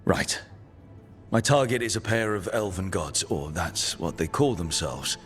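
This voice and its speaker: Casting: a wary leader in a fantasy game, lowish voice, no-nonsense and determined, music playing behind. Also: male voice, animated, a little slow. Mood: wary, resolute. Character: male fantasy hero, resolute, wary leader. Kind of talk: video game voice acting.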